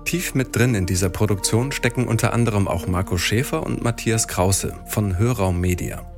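A man's voice: lowish voice, normal speed, over music. A man presenting an audio feature in scripted narration.

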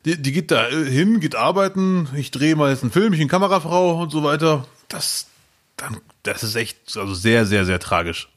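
A man in his thirties co-hosting a casual podcast, telling stories in a lively, jokey way. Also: on the high side, moderate pace. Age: 30s. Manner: animated storyteller, lively and jokey. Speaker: podcast co-host.

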